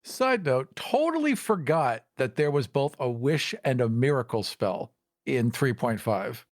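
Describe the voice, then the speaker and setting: A man's voice: mid-range voice, sing-song, unhurried, no echo, studio quality. A man co-hosting a podcast in casual, conversational back-and-forth. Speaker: podcast co-host.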